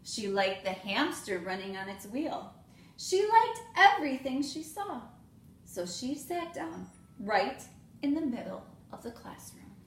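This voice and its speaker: A woman reads a storybook to young children at an unhurried pace. Her delivery is expressive and very melodic, recorded in an echoing room with noticeable background noise. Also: on the high side. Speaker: school staff member reading aloud to students. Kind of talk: children's storybook read-aloud. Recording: roomy echo, clear background noise.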